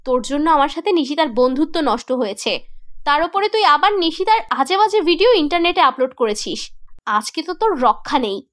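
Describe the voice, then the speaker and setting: A high-pitched young heroine voiced by a woman in a horror cartoon. She is a loyal, indignant friend who tells her story dramatically in the first person. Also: animated, brisk, a bit bright. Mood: angry.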